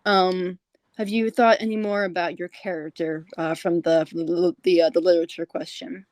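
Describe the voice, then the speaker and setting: A woman's voice: lowish voice, level tone, normal speed, darkish tone, dry recording. A woman hosting a casual interview, speaking in a hesitant, rambling way. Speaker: podcast host, interviewer.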